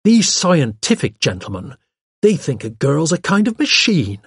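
A man's voice: fairly high pitch, sing-song, unhurried, slightly rough, no room sound, studio quality, voice pushed up, heavily acted. A man voicing a chatty, arch young woman with a gushing, pert, colloquial way of talking, as in an audiobook. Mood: pleased, self-assured. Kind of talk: audiobook character voice.

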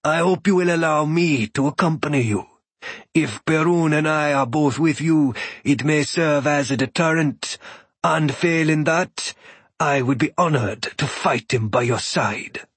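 A man's voice: a little high, fairly bright tone, breathy edge, no echo, pitched above the performer's own voice, exaggerated voice. A man voicing a polite god of thunder who speaks formally and measuredly. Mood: courteous. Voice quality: formal, measured speech without contractions. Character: polite god of thunder, bare-chested warrior.

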